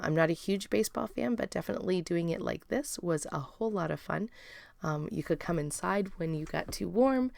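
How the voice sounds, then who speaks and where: Raspy-voiced woman narrating a craft video. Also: fairly low pitch, no room sound. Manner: relaxed, chatty, many ums and uhs. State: raspy, strained voice. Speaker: scrapbooking video host.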